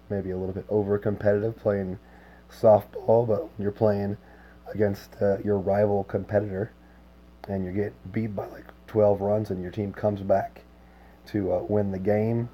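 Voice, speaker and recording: A man with a hoarse, worn voice chatting casually with his livestream viewers, easygoing and full of filler words. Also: lowish voice, little pitch movement, moderate pace, highs cut off. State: hoarse, lost voice. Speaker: game streamer.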